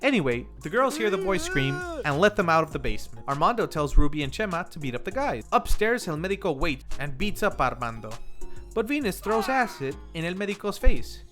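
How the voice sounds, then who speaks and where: A man with a slightly high voice hosts a scripted film review. His intonation swings widely as he narrates, and he slips in casual, wry side remarks. Music plays softly behind him. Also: sing-song, fairly quick.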